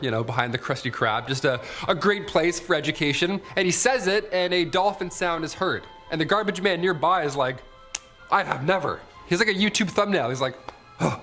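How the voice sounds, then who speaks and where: Casting: male list-show host, fairly high lively voice, wry comic asides, music underneath. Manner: wry, joking, mimics reactions. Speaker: top ten list video host. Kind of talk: conversational countdown narration with comic asides. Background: background music.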